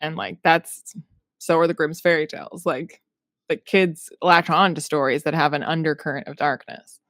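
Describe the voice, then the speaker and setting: A woman's voice: low voice, steady tone, moderate pace, fairly bright tone, dry recording, studio quality. A woman co-hosting a podcast, talking casually and giving opinions in a matter-of-fact, wry way. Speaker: podcast co-host.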